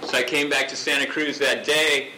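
Loud man speaking off-mic at a rally. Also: mid-range voice, steady tone, moderate pace, a little hiss or hum, rough recording. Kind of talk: impassioned public speech. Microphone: off-mic, picked up from a distance.

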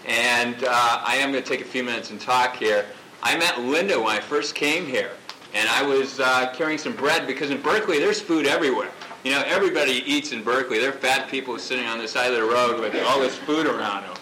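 A man giving an impassioned speech at a public gathering, loud and declaiming, talking without a microphone. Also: mid-range voice, a little fast, slight background noise, low-quality recording. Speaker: activist speaker at a rally. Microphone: off-mic, picked up from a distance.

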